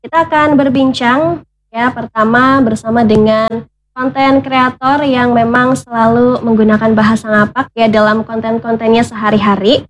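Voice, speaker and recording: A woman hosts a radio talk show, speaking to listeners in a polite, measured way. Her voice sits a little high, and a faint noise runs underneath it. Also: steady tone, poor audio. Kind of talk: radio interview hosting. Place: radio studio.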